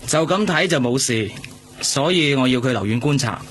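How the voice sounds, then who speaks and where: A reserved, matter-of-fact doctor played by a man with a Hong Kong accent. His pitch is middling, with faint ambient noise around him in the radio drama. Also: animated, normal speed, a bit bright, lightly acted. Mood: calm, reserved.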